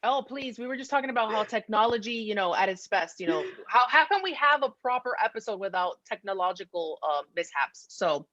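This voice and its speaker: A woman's voice: medium pitch, expressive, fairly quick, few pauses, a bit bright. A woman hosting a casual podcast chat, talking in an effusive, chatty way. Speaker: podcast host. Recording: dull, lo-fi close dry audio.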